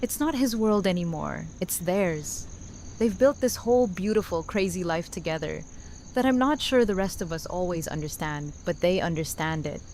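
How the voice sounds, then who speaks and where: An actress plays a frank and heartfelt adult woman in a fan audio drama. She speaks plainly and earnestly at a middle pitch, over faint noise. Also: a little mellow, close to an everyday voice. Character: Rosita, adult woman friend giving heartfelt, frank wedding toast.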